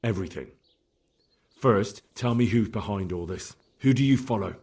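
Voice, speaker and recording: An actor playing a stern young investigator in a video game, asking short, blunt questions. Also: male voice, lowish voice, normal speed, a little mellow, husky. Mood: stern, probing. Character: young male investigator, stern, direct and probing. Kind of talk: dramatic video game dialogue.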